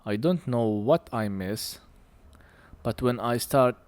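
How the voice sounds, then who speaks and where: A man who speaks English as a second language gives a hands-on repair tutorial in halting short phrases, a little short of breath. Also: mid-range voice, a little mellow, no room sound. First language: not English. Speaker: phone repair technician presenting a tutorial.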